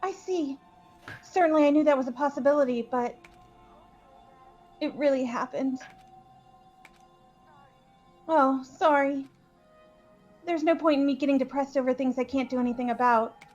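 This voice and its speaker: A woman plays a gentle computer-program character from a game. The voice is very high, soft and earnest, with a hesitant sweetness. The recording is a bit dull, with music behind it. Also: normal speed, pauses often, lightly acted. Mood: hesitant, subdued. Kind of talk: amateur fan voice acting of a game.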